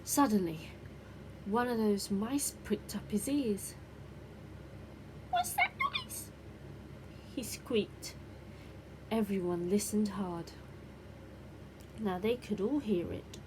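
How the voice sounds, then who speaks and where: A woman reading a children's bedtime story expressively, with deliberate dramatic emphasis. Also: medium pitch, dark tone, low-quality recording. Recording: clear background noise.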